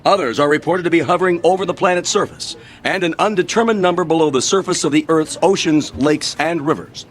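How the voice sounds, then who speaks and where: An actor playing a grave, authoritative news anchor reading formally in a radio drama. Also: male voice, on the high side, slight background noise, natural voice. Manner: formal, measured.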